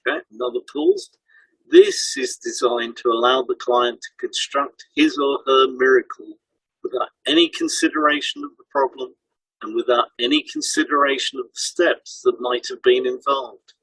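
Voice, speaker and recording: A man's voice: mid-range voice, sing-song, moderate pace, vocal fry, a bit bright, husky, no room sound, rough recording. A man co-hosting a podcast as a hypnotherapist, reading scripted explanations in an instructional way.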